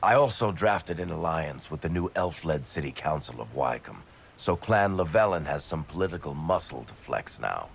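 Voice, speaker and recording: A man voicing a wisecracking, big-hearted dwarf rogue in a video game, with a gravelly voice and a casual, irreverent manner. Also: very animated, normal speed, a little hiss or hum, exaggerated voice. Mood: wry, breezy. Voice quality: low gravelly voice. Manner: wry, casual, breezily irreverent.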